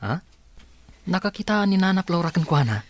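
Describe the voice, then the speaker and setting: A man's voice: sits high, sing-song, brisk, dry recording. A man acting a character in a family melodrama, conversational and questioning, in radio-style drama. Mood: teasing, curious.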